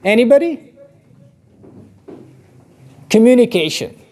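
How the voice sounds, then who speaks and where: Lively Afghan man teaching English. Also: very high voice, slow. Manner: animated, gestures widely, asks the class questions. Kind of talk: classroom lecture. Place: small classroom, indoors. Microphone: camera across the room.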